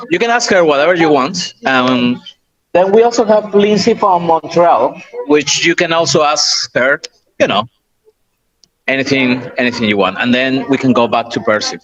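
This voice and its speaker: A man reporting casually from a busy restaurant over a video call, talking haltingly with many restarts. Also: fairly high pitch, a bit bright. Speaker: on-site reporter. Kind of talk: casual chat. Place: crowded restaurant. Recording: muffled call line in busy room. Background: crowd chatter.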